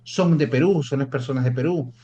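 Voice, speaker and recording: A man's voice: mid-range voice, a little fast, dark tone. A Venezuelan-born man, an immigration lawyer, explaining procedures in an interview, often repeating words as he restarts. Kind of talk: interview answers.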